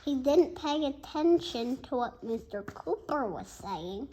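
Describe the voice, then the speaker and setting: A child reading a bedtime story aloud in an expressive, halting way, with asides to listeners. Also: sits high, background noise. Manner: expressive, halting, shouts out dialogue. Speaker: storybook reader.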